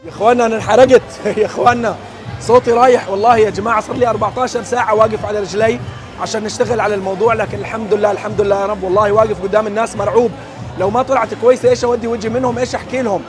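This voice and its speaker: A young man hosting a cooking vlog, talking to viewers in a brisk, excitable, joking way. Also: sits high. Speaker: cooking show host. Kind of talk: casual on-location cooking vlog narration. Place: busy event venue with a large outdoor cooking area. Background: background music.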